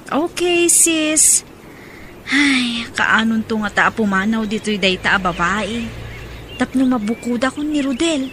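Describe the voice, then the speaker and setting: An actress playing a brazen, falsely sweet mistress in an Ilocano radio drama, with an Ilocano accent. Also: female voice, fairly high pitch, expressive, unhurried, a bit bright, a little hiss or hum. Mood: condescending.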